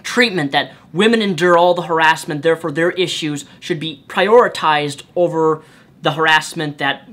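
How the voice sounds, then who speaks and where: A young man with a higher-pitched voice, giving reaction commentary in an indignant, rhetorical way and repeating his points. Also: pushed and bright. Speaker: YouTube commentator.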